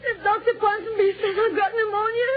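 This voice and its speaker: A woman's voice: very high-pitched, fairly even intonation, fairly quick, a crowd in the background. A woman voicing a fretful, sickly, stubborn schoolboy in a plaintive whine for a 1940s radio drama. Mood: tearful, stubborn. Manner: whining, plaintive. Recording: tinny, faintly noisy 1940s radio recording.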